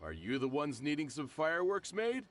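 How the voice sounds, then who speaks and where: A man's voice: fairly high pitch, wildly swooping pitch, moderate pace, a little hiss or hum. A man playing a genial, self-deprecating middle-aged shopkeeper and father in a video game. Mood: earnest, friendly. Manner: friendly, familiar, insistent. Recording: music under the voice.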